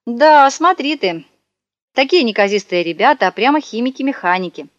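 A woman's voice: fairly high pitch, expressive, normal speed, no room sound, pitched above the performer's own voice, natural voice. A woman voicing a sly young male swindler in a storybook reading, whining and melodramatic, heard on a dull, dry recording. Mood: wheedling. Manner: wailing, wheedling, melodramatic.

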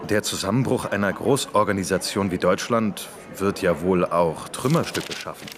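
A man's voice: low-pitched, normal speed, over music. A man playing a sober, skeptical doctor debating in a café in a radio play. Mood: sober, speculating. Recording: busy café crowd behind.

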